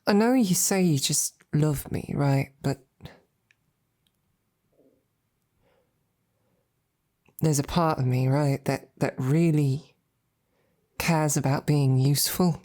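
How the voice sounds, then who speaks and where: A woman playing a devoted, self-doubting female royal knight in an intimate roleplay audio, speaking in a hesitant, informal, tender way with a low, gravelly voice. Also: measured pace, warm tone. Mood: earnest, insecure.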